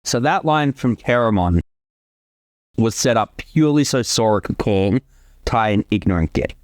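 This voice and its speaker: A man's voice: lowish voice, lively intonation, moderate pace, dry recording. A man giving casual creator commentary, chatty and explanatory, close on the mic. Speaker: commentary host, series creator.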